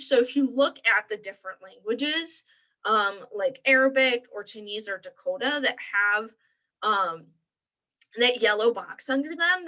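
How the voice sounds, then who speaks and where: A woman's voice: on the high side, pushed and bright, rough recording. A young woman librarian walking viewers through an online resource in a chatty, upbeat way with lots of fillers. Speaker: librarian presenting a tutorial. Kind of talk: screen-share walkthrough.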